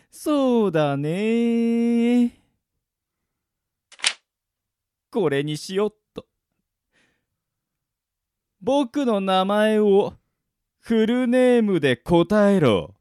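A man's voice: high-pitched, very animated, very slow, long pauses, dark tone, clean tone, exaggerated voice. A man playing a gleeful, sadistic killer in an audio drama, with a taunting, giggly, sing-song drawl. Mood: smug taunting. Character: gleeful sadistic male killer, mocking and creepy. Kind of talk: anime-like audio drama acting.

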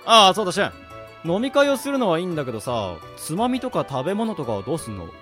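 A man acts out a wary young man in a radio drama. His voice sits high and moves up and down a lot as he grumbles and fires back blunt retorts over a music bed. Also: huge pitch swings, fast. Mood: casual, upbeat. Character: wary, exasperated young man among drinking buddies, Kenji. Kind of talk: amateur radio drama acting.

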